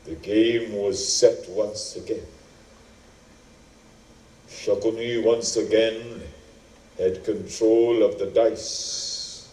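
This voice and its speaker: A man narrating a story on stage in a theatrical, measured style with long dramatic pauses. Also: fairly low pitch, steady tone, dark tone. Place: indoor stage performance. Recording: echoey room, distant microphone.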